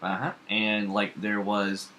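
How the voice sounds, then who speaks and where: A man with a fairly low but bright, forward voice talks comics in a loose, rambling way, piling on fillers and restarts as he gets going. Faint background noise sits under the recording. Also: completely flat delivery, slow. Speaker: comics podcast co-host. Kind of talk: casual chat.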